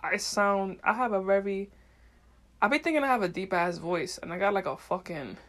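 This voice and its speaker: A woman's voice: medium pitch, normal speed, fairly bright tone. A woman talking off the cuff as a podcast host in a casual, slangy monologue, swearing freely and meandering as she thinks aloud. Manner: slangy, heavy swearing, unfiltered.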